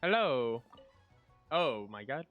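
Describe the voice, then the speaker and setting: A man's voice: mid-range voice, very animated, slow pace, phone-quality sound. A man streaming a video game and reacting in casual chat, with game music behind him.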